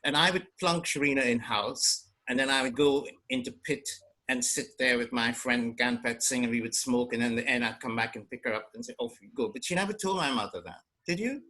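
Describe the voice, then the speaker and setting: A man's voice: mid-range voice, a little fast, hardly any pauses, sharp tone. An older man from Guyana hosting a family video call, telling old stories in a chatty, reminiscing way. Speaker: family meeting host. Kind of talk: casual storytelling on a video call.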